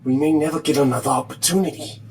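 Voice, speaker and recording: A man's voice: fairly high pitch, steady tone, quick pace, a little mellow, distant mic, slight background noise, lightly acted. A man playing an adventurous, persuasive utility worker in an audio drama. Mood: eager, coaxing.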